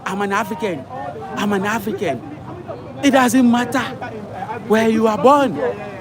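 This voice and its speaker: A man's voice: sits high, measured pace. A man vlogging fervently from a street march, repeating his rallying lines. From Ghana. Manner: fervent, repetitive rallying speech. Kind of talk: outdoor street vlog commentary. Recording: loud crowd noise outdoors. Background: chanting crowd and sirens.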